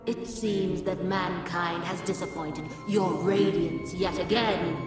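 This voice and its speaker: An actress playing a haughty, solemn councillor of a supernatural court in a fantasy game. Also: female voice, on the low side, fairly even intonation, slow. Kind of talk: dramatic fantasy game acting. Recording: very echoey hall, distant, music behind.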